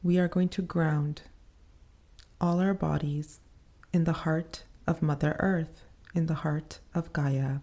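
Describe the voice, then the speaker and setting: A woman leading a guided meditation in a soothing, unhurried manner, pausing between short phrases. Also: low-pitched, steady tone, mellow.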